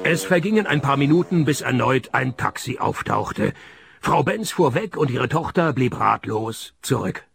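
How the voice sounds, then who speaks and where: An actor playing a laconic private detective in a radio play, wry and deadpan. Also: male voice, mid-range voice, normal speed, gravelly, a little hiss or hum. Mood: dry narration. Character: laconic male private detective narrating his own cases. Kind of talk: German-language radio play, dry first-person crime narration. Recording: music behind the voice.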